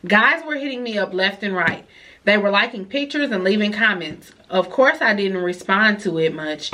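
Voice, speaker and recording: A young woman talks through a stuffy, nasal head cold in a relaxed advice vlog. She reads viewer letters aloud and breaks in with chatty reactions. Her pitch sits in the middle for a woman. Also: slight background noise. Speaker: vlogger giving advice.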